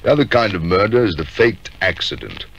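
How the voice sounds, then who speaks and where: An actor playing an authoritative police detective, formally introducing a case in a 1950s radio drama. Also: male voice, deep voice, very animated, creaky, fairly bright tone, breathy edge, heavily acted. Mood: grave. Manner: formal, measured. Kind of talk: scripted 1950s radio narration. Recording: dull, hissy 1950s radio recording.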